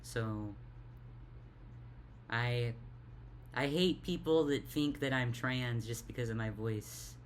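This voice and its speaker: A young woman with a deep voice talks in a tired, halting way, stuttering and repeating words in a casual confessional vlog. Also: a little hiss or hum. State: tired, worn out. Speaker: vlogger.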